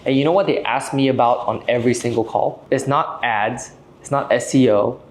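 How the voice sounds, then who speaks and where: A man around thirty from Malaysia giving an explanatory business pitch to camera, direct and persuasive, often checking in with short tags. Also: moderate pace, slight background noise. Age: late 20s to early 30s. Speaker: video host and AI agency owner.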